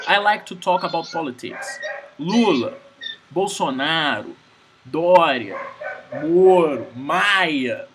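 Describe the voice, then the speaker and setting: Man, noisy background. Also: sits high. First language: Portuguese. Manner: keeps checking "Understand?", frequent restarts. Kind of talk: casual advice-giving chat.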